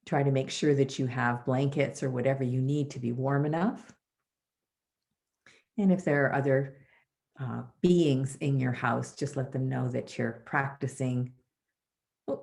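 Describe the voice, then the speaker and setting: A woman leading a guided body scan meditation as a teacher, soothing and measured, with pauses between phrases. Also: a bass voice, creaky, darkish tone.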